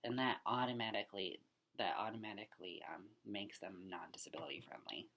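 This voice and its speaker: A young man, a student, casually reflecting on a book he read for class. He talks earnestly in loose restarts and often trails off into a breathy near-whisper. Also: lowish voice, fairly quick, sharp tone.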